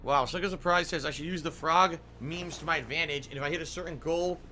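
A man's voice: on the high side, quick pace, slight background noise. A man in his thirties streaming a game from a small room on a headset mic, ranting and swearing in frustration. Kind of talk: live gameplay commentary. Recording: game sounds loud behind voice.

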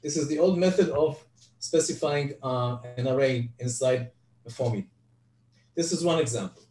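An older Hebrew-speaking man, giving an online spreadsheet lecture from home over a headset, halting with frequent restarts. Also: medium pitch, warm tone. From Israel. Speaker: guest presenter. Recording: echoey, distant video call audio.

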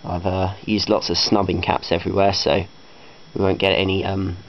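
A man's voice: deep voice, monotone, normal speed. A man giving a casual, unscripted technical demonstration, speaking hesitantly and matter-of-factly into a handheld camera indoors. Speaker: hobbyist electronics builder. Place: carpeted room indoors. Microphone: handheld camera microphone. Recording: dull lo-fi camera audio with faint noise.

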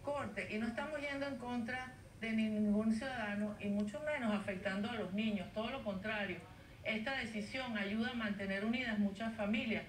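A woman guest on a TV news interview, arguing her case in an earnest, measured way over a video call. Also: fairly high pitch. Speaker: guest advocate. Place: home studio via video link. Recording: roomy, distant, faint noise, played off a TV.

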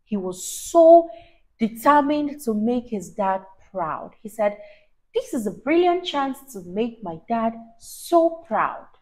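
A young woman reading a story aloud to a child, expressive and teacher-like, emphasising words and asking questions. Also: on the high side, slow pace, low-quality recording. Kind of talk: animated read-aloud to a child. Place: small indoor room, on a sofa. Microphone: clip-on lapel mic.

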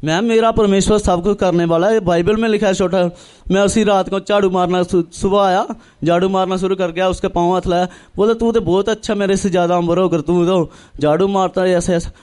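A young man from northern India tells his religious testimony earnestly, in halting, repetitive phrases, acting out remembered dialogue. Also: sits high, fairly even intonation, darkish tone, clean tone. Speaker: church member giving testimony. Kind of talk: personal religious testimony, storytelling.

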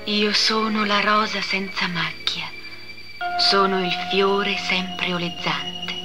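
An actress playing a serene heavenly Madonna in a religious cartoon, speaking in a solemn, stately manner. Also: female voice, mid-range voice, steady tone, slow pace, over loud music, close to an everyday voice.

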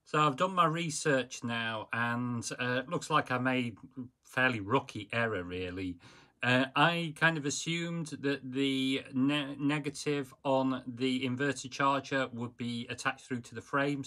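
Man, 50s, boat vlogger, slow easygoing delivery, mid-pitched, lots of ums, phone-camera sound in a cramped cabin. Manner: relaxed, hesitant, many fillers. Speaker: sailing vlogger. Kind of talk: casual how-to vlog. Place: small boat cabin, indoors. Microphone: handheld phone camera.

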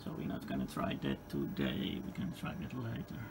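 A man with a Dutch accent and a gravelly voice gives an unscripted, halting hands-on equipment demonstration. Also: very deep voice, sing-song. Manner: halting, hesitant phrasing with repeated words. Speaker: hobbyist demonstrator. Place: indoor electronics workbench. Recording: clearly noisy room recording.

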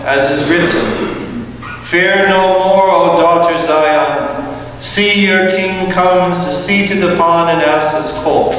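A middle-aged man, a priest, reading the gospel aloud in a slow, measured liturgical manner. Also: fairly high pitch, fairly bright tone, slight background noise. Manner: slow, measured chanting delivery. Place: large church sanctuary. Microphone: far room microphone. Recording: echoey church recorded from far off.

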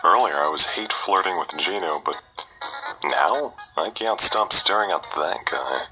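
A man's voice: low-pitched, animated, a little slow, poor audio. A man voicing a wry, awkward, pun-loving skeleton slacker in a cartoon comic dub, hesitant and sardonic. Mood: wry, amused. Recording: music over played-back video.

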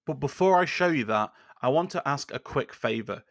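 A man in his thirties walks through a software tutorial, speaking casually and filling pauses with ums and uhs. His mid-range voice carries a gravelly, fry-tinged edge. He sits close to a boom-arm studio mic, so the sound is dry and free of room echo. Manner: relaxed, conversational, with frequent fillers. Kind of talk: screen-share tutorial. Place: small indoor room at a desk. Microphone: studio microphone on a boom arm.

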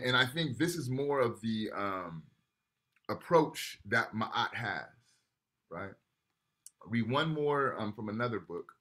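A man's voice: lowish voice, fairly bright tone. A man teaching a university class over a video call, talking casually and often checking in with "right?" while reading texts aloud. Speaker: lecturer.

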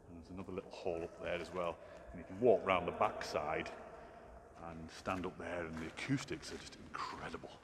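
A middle-aged man giving chatty, informal commentary for a walking vlog. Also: low-pitched. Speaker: vlogger, hiking guide. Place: outdoors on trails and in a cave. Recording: outdoor ambience and noise.

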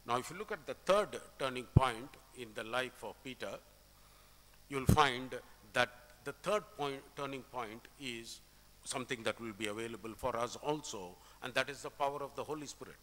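A middle-aged man with an Indian accent preaches an expository sermon, explaining scripture with emphatic, deliberate phrasing. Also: medium pitch, a bit bright. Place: indoor church, at a pulpit. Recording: reverberant church hall sound.

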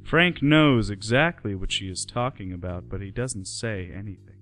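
A man's voice: lowish voice, normal speed, a bit bright, faint music behind. A man giving scripted narration for a radio play.

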